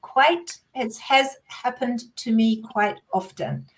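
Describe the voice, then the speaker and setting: Chatty older New woman, high voice. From New Zealand. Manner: halting, many fillers and restarts. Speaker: guest. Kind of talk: casual chat over a livestream call.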